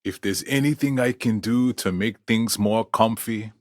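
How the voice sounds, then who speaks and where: A man's voice: lowish voice, a little slow, no room sound, clean studio sound, close to an everyday voice. A man voicing a laid-back, gracious Hawaiian fusion restaurant host in a video game, with an easygoing, hospitable manner. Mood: relaxed, hospitable. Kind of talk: scripted video game character dialogue.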